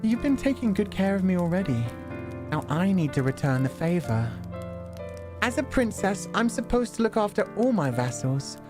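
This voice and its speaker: A doting young princess from a visual novel, acted out by a man, pitched well up from his usual voice. The manner is soft, tender and gently possessive, with formal storybook wording over a music bed. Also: very animated, normal speed. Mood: tender, reassuring.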